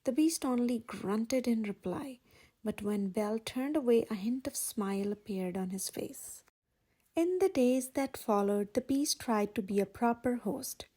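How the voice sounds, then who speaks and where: A woman narrates a children's storybook with an expressive read-aloud delivery. Her voice sits fairly high and has a soft, breathy edge rather than a clean tone. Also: measured pace.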